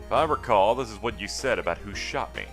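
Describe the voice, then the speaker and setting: A low, bright-edged voice for a wry amnesiac dead man played by a man reading game dialogue, questioning and pressing with a sardonic bite. Music plays underneath. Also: animated, quick pace. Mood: accusing.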